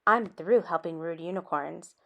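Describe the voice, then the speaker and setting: A woman's voice: lowish voice, moderate pace, gravelly, lo-fi sound, pitched below the performer's own voice. A woman voicing a clever, fed-up young female unicorn in a children's storybook, speaking firmly and indignantly.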